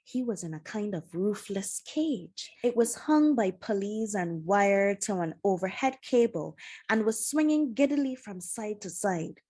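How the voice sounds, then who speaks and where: A woman reading a children's adventure novel aloud as scripted narration, with expressive, dramatic storytelling. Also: mid-range voice, unhurried, breathy edge. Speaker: storybook reader.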